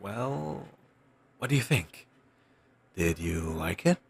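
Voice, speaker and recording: Male actor as a wandering bard, very husky, low-pitched, self-doubting, thoughtful pauses, formal phrasing, fantasy audio roleplay. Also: very animated, heavily acted. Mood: warm, curious. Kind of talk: intimate one-sided audio roleplay acting.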